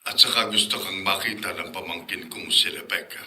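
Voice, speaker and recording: A man's voice: sits low, brisk, sharp tone. A man playing a concerned, fretful older uncle in a radio drama, speaking earnestly and emphatically. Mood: grave. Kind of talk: Filipino radio drama acting.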